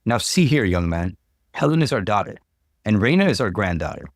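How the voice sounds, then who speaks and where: A man's voice: fairly low pitch, very animated, brisk, dry recording, studio quality. A man voicing a stern older father-in-law in a narrated story, pompous and scolding.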